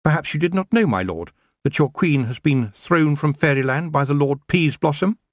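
A man putting on a high, defiant young witch girl. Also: expressive, moderate pace, no echo, clean studio sound. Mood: firm. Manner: firm, rising to scornful.

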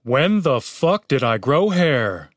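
A man's voice: medium pitch, very animated, takes it slowly, dry recording, studio quality. A man narrator voicing a panicky, exasperated young man who has become a fantasy bard, whiny and sweary in an audiobook. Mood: panicked.

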